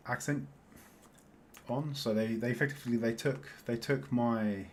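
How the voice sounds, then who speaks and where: A man's voice: medium pitch, steady tone, measured pace, darkish tone, slight background noise. A man with a South London accent chatting casually as he plays a game, rambling and often laughing. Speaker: Minecraft let's-play host. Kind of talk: casual chat while playing.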